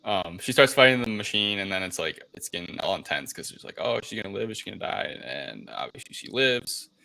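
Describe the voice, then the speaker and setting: A man's voice: deep voice, animated, a little fast, vocal fry, sharp tone, low-quality recording. A man co-hosting a casual movie review chat, rambling informally through a plot recap and sometimes swearing. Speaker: podcast co-host.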